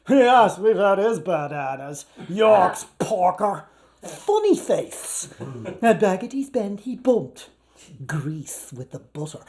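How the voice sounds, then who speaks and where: Theatrical Irish man reading literary prose. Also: high-pitched. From Ireland or Canada. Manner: animated, declamatory, swinging between murmurs and loud outbursts. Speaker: actor performing a literary reading. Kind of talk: theatrical live reading of a novel.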